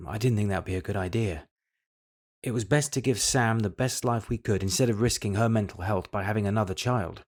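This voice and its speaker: Casting: actor as middle-aged British husband, dutiful but guilty, measured delivery, slightly low voice, confessional radio drama. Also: male voice, a little mellow, close to an everyday voice. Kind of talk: confessional audio drama monologue.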